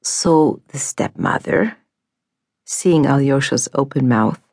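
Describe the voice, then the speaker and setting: A woman narrating a fantasy audiobook in a measured storytelling manner, on a studio mic. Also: a bass voice, animated, creaky, a little mellow, dry recording.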